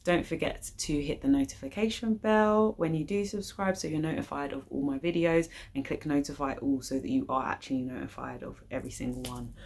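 Low-voiced young British woman, chatty. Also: brisk, hardly any pauses, darkish tone, a little hiss or hum. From United Kingdom. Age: young adult. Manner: informal, chatty, slangy. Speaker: YouTube channel host. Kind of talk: casual vlog chat. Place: living room, indoors.

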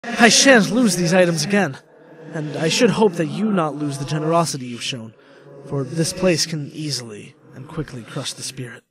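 A man playing a genteel, grateful gentleman ghost in a game dub, speaking in florid, formal, theatrical phrases. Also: fairly high pitch, moderate pace, a crowd in the background, natural voice. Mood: grateful, solemn.

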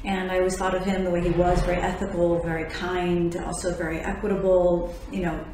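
A woman in her forties from Miami, a lawyer and podcast host, answering interview questions in a relaxed way with easy laughs. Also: fairly low pitch, a little fast, slight background noise. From Miami, Florida. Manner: laughs easily, fillers between thoughts. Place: office lounge with large windows. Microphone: tabletop condenser mic. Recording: very echoey room, faint ambience.

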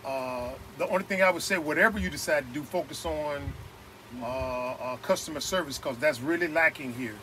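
A man's voice: on the high side, normal speed, a bit bright, slight background noise, poor audio. A man hosting a casual outdoor interview, asking curious follow-up questions. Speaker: host.

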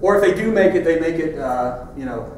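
A man's voice: fairly high pitch, animated, fairly quick, darkish tone, a little hiss or hum. A middle-aged man giving a conference keynote in a large lecture hall, in a conversational, wry way as he points at his slides. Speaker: keynote speaker. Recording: echoey hall from far off.

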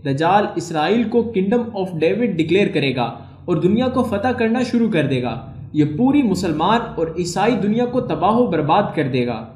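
A man reading a scripted documentary narration as a channel's narrator, in a formal, measured, evenly read manner. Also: sits high, big pitch swings, a little mellow, a little hiss or hum. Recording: echoey room.

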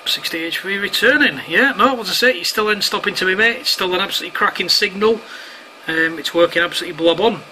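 Man, piercingly bright voice, casual radio operator chat, slangy and matey, slight background noise. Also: high-pitched, fast talker. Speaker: amateur radio operator filming for online videos. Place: indoors at home, at a radio set. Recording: clearly noisy room recording of radio chat.

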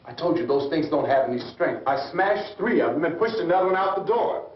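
An actor playing a resolute, defiant, practical American survivor in an old horror film, speaking bluntly and challengingly. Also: male voice, fairly high pitch, lively intonation, brisk, a little hiss or hum, close to an everyday voice. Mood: determined. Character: resolute, defiant, practical survivor standing his ground against the undead. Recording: echoey, muffled old film soundtrack, distant.

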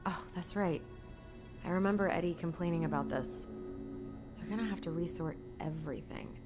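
A woman's voice: on the low side, moderate pace, over music, close to an everyday voice. An actress playing an earnest, conciliatory young small-town woman with an American accent in a video game, speaking conversationally. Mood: quiet, casual. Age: young adult. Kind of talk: video game dialogue acting.

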